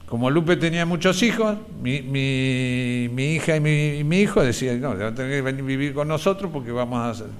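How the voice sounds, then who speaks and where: A middle-aged Argentine man giving personal testimony in a reflective, halting way, often repeating words as he searches for the next. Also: mid-range voice, a little hiss or hum. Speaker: interviewee, relative of a disappeared person. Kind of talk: personal testimony, conversational recollection.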